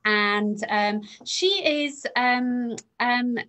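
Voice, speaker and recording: A woman's voice: fairly high pitch, very slow, a bit bright. A woman chairing an online rally, introducing the next speaker with frequent hesitations. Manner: hesitant, many fillers. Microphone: video call.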